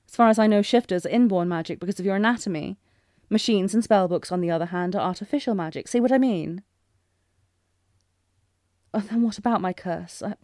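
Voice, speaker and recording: A woman's voice: fairly low pitch, darkish tone, dry recording, lightly acted. Woman acting an earnest, genteel fantasy princess, close and dry. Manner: theatrical, breathy exclamations with genteel, old-fashioned phrasing. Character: lovestruck, flustered young fantasy princess, refined and earnest. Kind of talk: romantic audio roleplay spoken to the listener.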